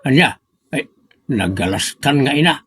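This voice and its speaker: A man playing a cranky, blustering old village father with an Ilocano accent in a comedic audio drama, husky and argumentative. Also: mid-range voice, expressive, moderate pace, fairly bright tone, no echo, studio quality. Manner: argumentative, exclamatory.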